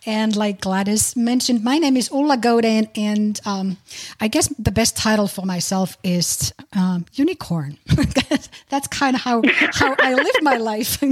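A middle-aged woman from Finland, hosting a podcast and telling a personal story. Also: a little high. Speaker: podcast host.

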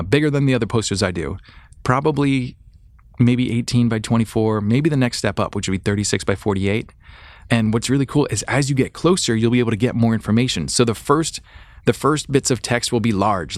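A man with a fairly low voice hosts a solo podcast in a dry, close recording. He talks informally and with enthusiasm, rambling through updates and working out his thoughts aloud. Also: dry recording. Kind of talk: casual rambling show update to listeners.

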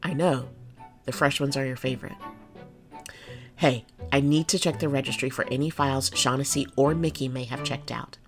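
A jaded, steady policewoman acted by a woman with heavy vocal fry. She speaks plainly and reassuringly, in audio drama style, over light music. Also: extremely low pitch, normal speed. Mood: patient, businesslike. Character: jaded, kind-hearted, steady female city cop.

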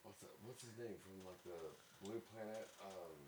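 A man hosting a casual studio podcast, with loose, profane, laughing banter and a voice going slightly raspy. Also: on the low side, fairly even intonation, normal speed, background noise. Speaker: podcast host.

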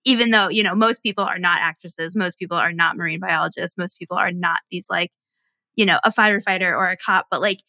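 A woman's voice: lowish voice, fairly even intonation, a little fast, bright, forceful tone, no echo. A woman co-hosting a podcast, musing aloud in casual conversation with fillers. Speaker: podcast co-host.

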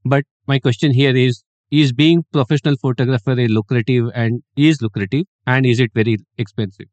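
A man's voice: medium pitch, fairly even intonation, a little fast, darkish tone, no echo. A man hosting an interview, asking long, hesitant questions. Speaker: podcast host. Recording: dry, dull lo-fi audio.

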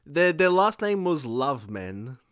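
A man co-hosting a casual movie podcast, halting and repeating words as he recalls details. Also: a little high, big pitch swings. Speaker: podcast co-host reviewing a movie. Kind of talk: casual chat.

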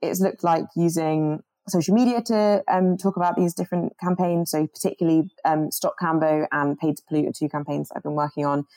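Low, mellow-voiced woman, earnest climate organizer. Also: a little fast, few pauses, dry recording, rough recording. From born in Jamaica, grew up in the UK. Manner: earnest and animated, restarts sentences often. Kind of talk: casual interview over a video call. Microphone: video call.

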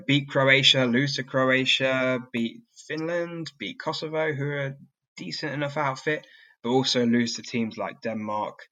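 A man's voice: medium pitch, sharp tone, highs cut off. A man talking informally and conversationally as co-host of a sports preview podcast. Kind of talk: casual football preview chat.

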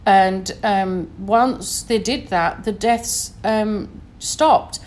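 A woman's voice: medium pitch, very slow, darkish tone. A woman from Sheffield with a Sheffield accent, an educator giving an earnest recorded talk and often checking in with listeners with "okay?". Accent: Sheffield English. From Sheffield, northern England.

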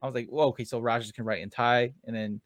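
A man's voice: mid-range voice, fairly even intonation, fast talker, no room sound. A young man hosting a casual chat who stumbles and restarts his sentences, scattering "like" everywhere as he asks curious questions. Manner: stammering restarts, curious, lots of "like". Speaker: host.

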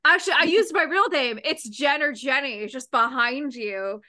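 A woman hosting a casual podcast chat, bubbly and quick to laugh. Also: high-pitched, pushed and bright. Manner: bubbly, laughs often, talks over others. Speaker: podcast host.

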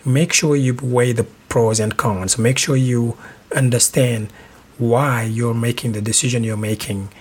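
Casting: middle-aged man from Senegal, husky and mid-pitched, podcast host delivering earnest, measured advice. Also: fairly even intonation. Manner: measured, earnest advice-giving with small restarts. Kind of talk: relationship advice podcast monologue.

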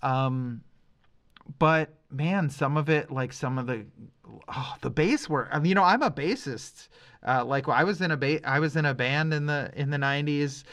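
A man's voice: fairly high pitch, moderate pace, a bit bright. Rambling, opinionated man on a podcast. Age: middle-aged. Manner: rambling, emphatic, opinionated, thinking aloud. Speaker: podcast host, music reviewer. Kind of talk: casual podcast discussion.

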